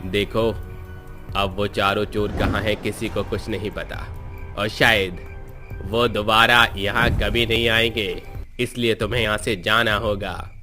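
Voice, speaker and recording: A man playing a stern village holy man in a horror story, speaking with firm, measured persuasion. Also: on the low side, level tone, over music, natural voice.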